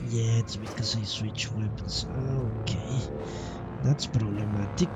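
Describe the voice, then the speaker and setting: Man muttering through game commentary over loud music. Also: lowish voice, fairly even intonation, measured pace. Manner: swearing, thinking aloud, pessimistic. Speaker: game streamer. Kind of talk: live gameplay commentary, casual muttering. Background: loud video game music and sound.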